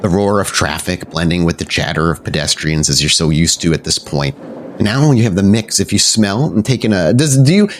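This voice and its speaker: A man's voice: deep voice, animated, normal speed, slight background noise. A man narrating a tabletop roleplaying game descriptively as game master.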